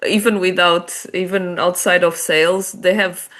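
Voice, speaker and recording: A woman's voice: lowish voice, steady tone, moderate pace, fairly bright tone. A woman with a Portuguese accent, a non-native English speaker, explaining her ideas in a chatty, casual interview. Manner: chatty, explanatory, many restarts and small laughs. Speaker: guest author and business development head.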